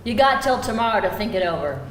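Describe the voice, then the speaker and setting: A woman's voice: medium pitch, sing-song, fast, natural voice. A woman playing a flirtatious, threatening soccer mom with an insinuating manner at a screenplay table read. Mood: quietly threatening. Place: live event room before an audience. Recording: distant, roomy, clearly noisy live reading.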